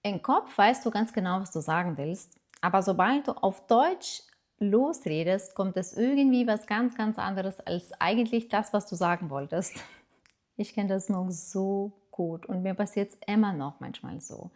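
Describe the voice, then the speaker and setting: A woman's voice: medium pitch, normal speed. A middle-aged woman from Lithuania with a Lithuanian accent, speaking German as a second language, talking casually and encouragingly to camera as a language teacher, with hesitations and fillers.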